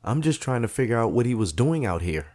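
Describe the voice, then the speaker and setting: A man's voice: medium pitch, sing-song, fast talker, a little mellow, lightly acted. A man playing a determined young heir caught in intrigue, speaking plainly and directly.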